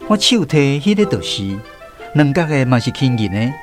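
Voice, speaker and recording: A man narrating a story in a gentle, nostalgic, unhurried way. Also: medium pitch, big pitch swings. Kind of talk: scripted storytelling narration, reminiscing. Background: clear background music.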